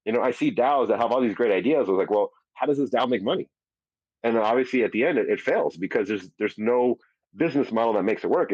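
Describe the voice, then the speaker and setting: A man's voice: on the low side, a little mellow, husky, no room sound. A man hosting a casual live audio show, talking in a rambling, profane way with many restarts, heard over a dull, lo-fi online audio chat. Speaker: host.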